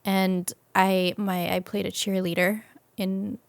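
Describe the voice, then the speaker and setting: A woman's voice: medium pitch, flat delivery, slow, gravelly, dry recording. An American woman talking casually and informally as a guest in a conversational interview. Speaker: podcast guest, actress and creator.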